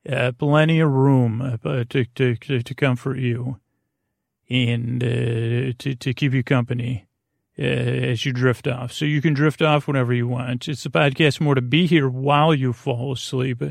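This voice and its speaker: A man hosting a bedtime podcast in a creaky, lulling voice, meandering and halting as he trails off mid-thought. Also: medium pitch, steady tone, no room sound, clean studio sound. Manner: lulling, soothing. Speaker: podcast host. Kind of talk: rambling bedtime podcast monologue meant to lull listeners to sleep.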